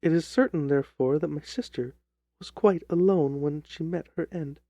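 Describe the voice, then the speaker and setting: Distressed young gentlewoman, played by a man, formal and theatrical, soft dark tone, dry close sound, audiobook drama. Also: a little high, moderate pace, no room sound, lo-fi sound. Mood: earnest, troubled. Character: distressed young gentlewoman recounting her sister's terrifying death.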